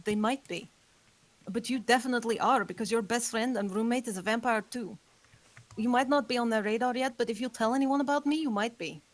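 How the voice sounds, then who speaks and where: A woman's voice: a little high, a little fast, close to an everyday voice. A woman reading the part of a young female vampire who earnestly warns her roommate of danger, in a script table read. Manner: earnest, urgent warnings.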